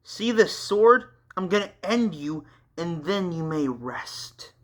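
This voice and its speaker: A man's voice: sits high, lively intonation, slow, fairly bright tone, voice pushed up, full character voice. Man doing a stern female angel.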